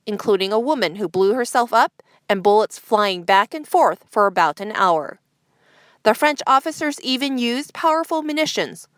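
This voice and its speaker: A woman's voice: on the high side, a bit bright, dry recording. A woman news presenter reading a scripted news bulletin.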